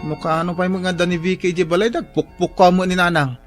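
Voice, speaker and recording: A man doing a high-voiced, bashful young son. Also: level tone, fairly quick, soft background music, close to an everyday voice. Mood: worried. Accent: Ilocano. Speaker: radio drama actor. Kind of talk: radio drama acting.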